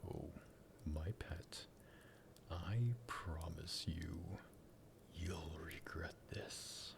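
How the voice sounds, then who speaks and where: A man playing a sadistic, possessive vampire master in an ASMR roleplay, in a breathy, gravelly whisper with a teasing, condescending drawl. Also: sits low, completely flat delivery, extremely slow, background noise, full character voice. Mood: threatening. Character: sadistic vampire master, possessive and menacing, toying with captive lover.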